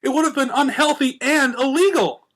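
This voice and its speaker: A man's voice: very high-pitched, normal speed, a bit bright, voice pushed up. A man voicing a bookish, sensible young female unicorn who reasons in an exasperated way, in a cartoon fan story. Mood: curt, indignant. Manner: curt, pleading, reasoning.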